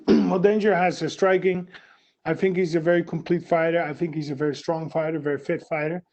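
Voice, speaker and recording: Dutch guy, fighter at a press day, bit high pitched, short plain answers with fillers. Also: fairly even intonation, fairly quick, darkish tone, highs cut off. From Netherlands.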